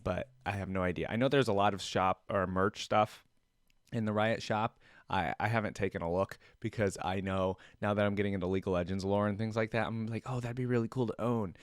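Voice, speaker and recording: A man's voice: lowish voice, fairly even intonation, brisk, no echo, studio quality. A man hosting a reaction video in a casual, off-the-cuff way, rambling as he thinks aloud. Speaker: host of a reaction video channel.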